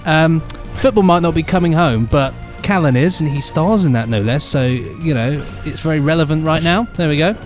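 A man's voice: a little high, moderate pace, hardly any pauses, soft background music. A man co-hosting an audio drama podcast in casual, jokey chat. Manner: jokey, lively, trailing off into mumbles. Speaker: podcast co-host.

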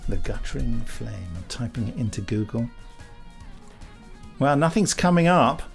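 A man's voice: mid-range voice, expressive, moderate pace, gravelly. Dry, wry British man over music. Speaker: podcast co-host. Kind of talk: casual chat.

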